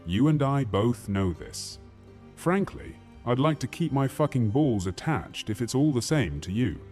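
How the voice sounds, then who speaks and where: A man playing a brash, foul-mouthed, battle-hardened soldier in a fantasy audio drama, with a crude, forceful manner. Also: medium pitch, big pitch swings, unhurried, soft background music. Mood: sardonic.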